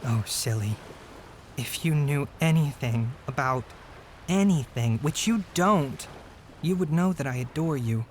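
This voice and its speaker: An actor playing a bumbling, scheming male vampire suitor in a comedy horror audio drama, halting at times and declaiming falsely adoring lines. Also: mid-range voice, lively intonation, a little hiss or hum, natural voice. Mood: theatrically adoring. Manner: halting, then stagily declaiming.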